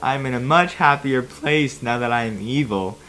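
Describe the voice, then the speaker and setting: A woman's voice: very deep voice, moderate pace, fairly bright tone. A woman voicing a small old male alien master turned villain in a comic story, smug, scornful and short-tempered. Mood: smug, scheming. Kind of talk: comic fan fiction narration in character.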